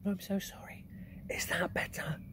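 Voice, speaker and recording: Man putting on a polite little girl, slightly raised pitch, earnest storybook voice. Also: animated, normal speed, dark tone, slight background noise, natural voice. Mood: whispering. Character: small polite young girl.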